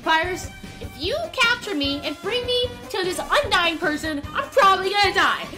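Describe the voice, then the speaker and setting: A woman's voice: very high-pitched, animated, normal speed, over music. A young woman doing playful gameplay role-play commentary, dramatically pleading and exclaiming. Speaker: gaming video creator.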